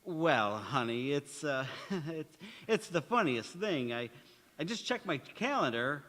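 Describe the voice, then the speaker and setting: A man acts a sheepish husband in an old-time radio comedy. He is hesitant and bumbling, a little high in pitch, with hall reverb around him. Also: big pitch swings, slow, bright, forceful tone, full character voice. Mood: sheepish, nervous. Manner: hesitant, nervously stammering. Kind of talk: old-time radio sitcom acting, live on stage. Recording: echoey hall, live stage performance.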